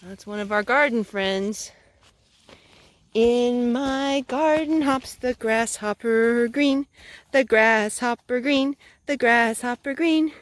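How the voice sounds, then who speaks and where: A woman's voice: fairly high pitch, slow. A woman, a mother, doing a children's storytime, reading aloud and chatting with questions for the young listeners. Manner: asks the child questions and repeats answers back. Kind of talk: children's read-aloud storytime with chat and song.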